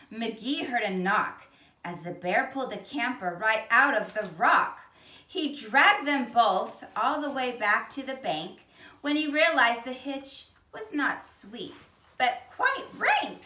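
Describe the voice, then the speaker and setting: A woman reading a children's picture book aloud in an expressive, deliberate way, with dramatic emphasis. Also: a little high, recorded from a distance. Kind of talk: animated children's storybook read-aloud. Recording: echoey, roomy sound.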